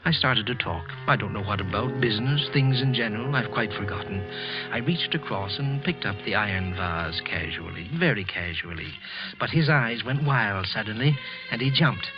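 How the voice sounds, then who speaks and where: An actor playing a guilty, nervous office worker in a vintage radio thriller, speaking in a deliberate, intense, brooding manner. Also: male voice, fairly low pitch, animated, natural voice. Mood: frenzied. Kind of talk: old-time radio thriller acting, first-person narration. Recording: muffled vintage radio recording with music.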